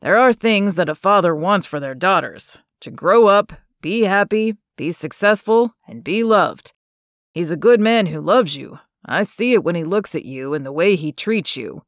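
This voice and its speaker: A woman voicing a caring middle-aged father in an audiobook, speaking in a measured, gentle way. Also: fairly low pitch, animated, no room sound, clean studio sound. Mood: tender.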